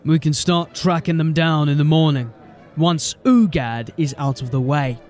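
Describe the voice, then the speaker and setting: A man's voice: on the high side, measured pace, a little mellow, soft background music, lightly acted. A man playing a blunt, wry city watch officer in a fantasy audio drama. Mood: wry, playful.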